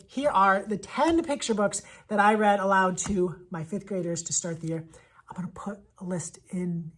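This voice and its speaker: Casting: female schoolteacher, husky mid-range voice, emphatic and enthusiastic, casual video book reviewer. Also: mid-range voice. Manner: enthusiastic, emphatic, repeats phrases for effect. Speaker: elementary school teacher reviewing picture books.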